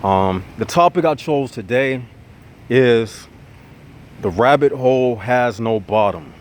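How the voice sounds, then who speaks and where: A man's voice: mid-range voice, takes it slowly. Preachy man with a stuffy nose. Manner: preachy, animated, addresses viewers as y'all. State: nasal, getting over congestion. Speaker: channel host. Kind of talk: casual monologue to viewers.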